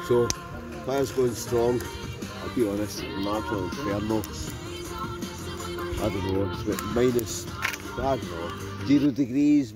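A Scottish man chatting cheerily to viewers as he vlogs a night of campfire cooking outdoors. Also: mid-range voice. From Scotland. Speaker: vlogger. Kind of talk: casual camping vlog. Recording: outdoor campfire recording with music behind. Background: music playing, food sizzling.

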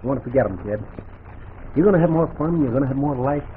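A man's voice: mid-range voice, fast talker, natural voice. An actor playing a cocky, callous saloon womanizer in a 1940s radio drama, talking in brash, insistent slang. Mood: smug, coaxing. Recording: noisy, narrow-band old radio recording.

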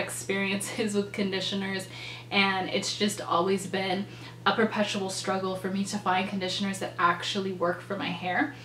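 A woman's voice: mid-range voice, a bit bright. A young woman in her twenties reviewing hair products to camera from her bedroom, chatty and enthusiastic with a lot of ums and likes, and a little stuffed up and nasal from a cold. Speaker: beauty vlogger. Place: bedroom, indoors. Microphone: camera in front, seated. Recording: echoey room with faint hum.

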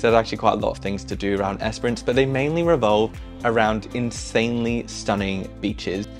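Easygoing young man, low voice. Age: young adult. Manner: easygoing, enthusiastic, chatty with fillers. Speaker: travel vlogger. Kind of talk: casual travel recommendations to camera. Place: small living room, indoors.

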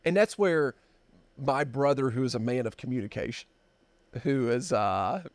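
A man in his fifties hosting a podcast, telling a story in a halting, drawn-out way with many fillers, at a desk mic in a dark studio. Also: mid-range voice, lively intonation, no echo. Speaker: podcast host. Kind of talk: casual storytelling chat.

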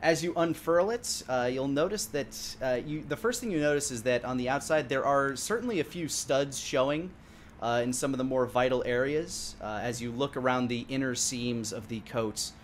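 A man narrating a tabletop roleplaying game as game master, improvising descriptions in a halting, detailed way. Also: mid-range voice. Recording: clearly audible background noise.

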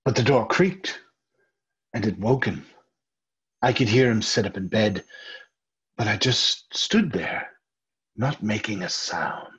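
A man's voice: on the low side, slow, long pauses, fairly bright tone, husky, full character voice. A man playing a nervous, obsessive murderer confessing in an old-time radio horror style, intense and lingering over words. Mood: sinister, secretive. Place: indoor home room, group video call. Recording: dull sound, music underneath.

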